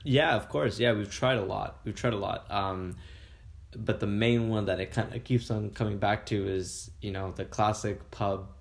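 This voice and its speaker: A man's voice: fairly low pitch, steady tone, normal speed, gravelly. A man, a food blogger chatting upbeat and rambling as a podcast guest. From Canada. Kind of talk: casual interview chat.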